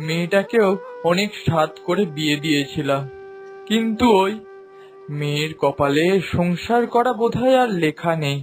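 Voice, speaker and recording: Man acting humble old village washerman, higher-pitched. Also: lively intonation, normal speed, soft background music, lightly acted. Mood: humble, plaintive. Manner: deferential, plaintive. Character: humble, elderly village washerman, pleading father. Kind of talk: Bengali cartoon voice acting.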